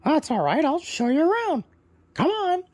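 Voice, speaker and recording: A man's voice: very high-pitched, very animated, normal speed, a little mellow, no room sound, voice pushed up. A man voicing a cheerful, hospitable male rainbow parrot for a children's bedtime story, eager and welcoming, often repeating his phrases.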